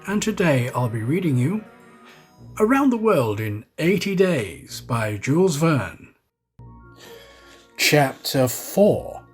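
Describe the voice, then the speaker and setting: A man reading a story aloud as a scripted narrator, with deliberate, theatrical phrasing. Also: medium pitch. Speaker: storyteller, professional actor.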